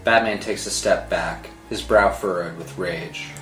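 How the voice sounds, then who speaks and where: Male narrator for script read: dramatic delivery, deep register, roomy sound, score behind. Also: measured pace, a bit bright. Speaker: narrator reading stage directions. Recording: roomy sound with music underneath.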